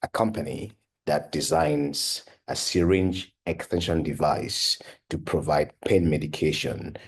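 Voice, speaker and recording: A man's voice: extremely low pitch, fairly even intonation, unhurried, slightly rough. A young adult man from Cameroon, a device company founder and PhD researcher, telling his story in an earnest, conversational way with many "you know" and "uh" fillers. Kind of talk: personal talk for an online course.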